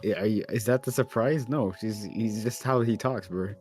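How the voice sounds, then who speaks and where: Male stream co-reader, low husky voice, casual bantering delivery. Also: moderate pace, a little mellow, soft background music. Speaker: co-reader on a game livestream.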